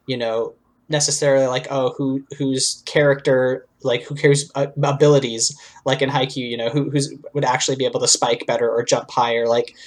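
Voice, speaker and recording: A man co-hosting a podcast, giving informal, discursive commentary full of asides in a conversational way. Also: medium pitch. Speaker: podcast co-host.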